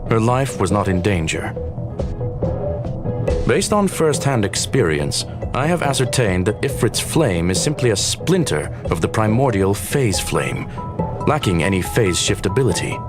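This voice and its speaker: An actor playing an earnest, formal genius researcher in a video game, with precise, clipped wording. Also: male voice, fairly low pitch, big pitch swings, unhurried. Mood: composed, resolute. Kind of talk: video game dialogue acting. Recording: music behind the voice.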